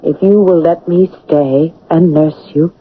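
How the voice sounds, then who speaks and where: A woman's voice: low voice, steady tone, a little slow, husky. A woman playing a spirited, devoted young English governess in an old radio drama, earnest and composed. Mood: tender, steady. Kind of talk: 1970s-80s radio drama acting. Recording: narrow, tinny old radio broadcast.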